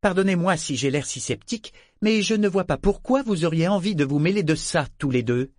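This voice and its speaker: Guy doing a doubtful woman in an audiobook, pitched up high, wary, dry sound. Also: lively intonation, a little fast, no echo. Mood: sceptical, worried. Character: wary, sceptical grown woman guarding family fortune. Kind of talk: audiobook character dialogue.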